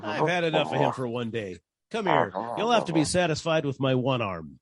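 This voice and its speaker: A man's voice: a little high, big pitch swings, a little fast, gravelly, crowd noise. A man playing a wry, wisecracking 1940s private detective, narrating his case in old-time radio style. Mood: sardonic. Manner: dry, wisecracking.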